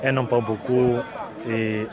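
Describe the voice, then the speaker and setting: A man answers a street interviewer hesitantly, stopping and restarting. His voice sits mid-pitch, with crowd chatter around him. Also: flat delivery. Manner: hesitant, halting. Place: outdoors on a busy street. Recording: busy crowd chatter behind.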